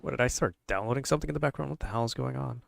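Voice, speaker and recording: A man's voice: medium pitch, racing, gravelly. A man streaming a horror game, grumbling casually and swearing, wary of what lies ahead. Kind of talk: casual livestream commentary.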